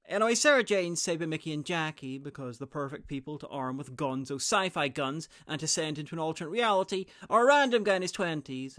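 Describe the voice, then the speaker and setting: A man talks through a TV episode in a snarky, mocking recap and drops the odd curse. His voice sits somewhat high for a man. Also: a bit bright. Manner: sarcastic, swearing, mocking. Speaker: TV episode reviewer. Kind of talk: comedic recap commentary.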